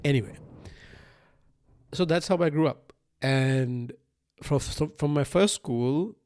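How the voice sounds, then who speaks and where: A man's voice: fairly high pitch, slow, darkish tone. A man in his thirties from Sri Lanka, a native Sinhalese speaker, hosting a solo podcast in a casual, rambling way, circling back over phrases and checking in with "right?". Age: 30s. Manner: rambling, repeats phrases and restarts, often says "like" and "right?". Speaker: podcast host. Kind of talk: casual unscripted solo monologue.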